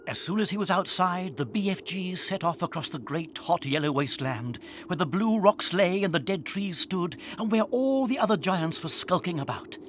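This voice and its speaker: The narrator of a children's audio drama. His voice sits a little high for a man as he reads the scripted storybook prose. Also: lively intonation, moderate pace, hardly any pauses, noisy background. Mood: measured, suspenseful.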